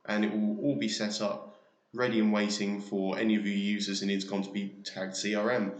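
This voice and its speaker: A man presenting a software tutorial, narrating a screen demo step by step in an informal, explanatory way. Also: lowish voice. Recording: roomy, echoey sound.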